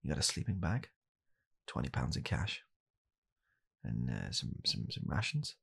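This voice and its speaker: A young British man in a hushed, halting, deadpan comedic ASMR roleplay, playing a bitter, weary father coldly blaming his child. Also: extremely low pitch, vocal fry, slightly rough. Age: young adult. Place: small home room, indoors.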